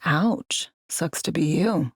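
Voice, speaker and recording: A woman's voice: sits low, takes it slowly, husky, no room sound, studio quality, full character voice. A woman playing a brash, foul-mouthed, world-weary female spy in a video game, talking in clipped, slangy, sardonic lines. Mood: wry, sardonic. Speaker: undercover field agent in a sci-fi game. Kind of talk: video game character dialogue.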